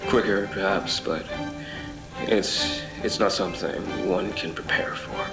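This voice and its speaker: A haunted, obsessive male wanderer voiced by a man delivers a brooding horror audio drama monologue in a very low, gravelly voice. His measured, halting words ring with echo over music. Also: fairly even intonation, exaggerated voice. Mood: weary, uneasy. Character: haunted, obsessive male traveler between twisted worlds, recounting his ordeal. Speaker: voice actor.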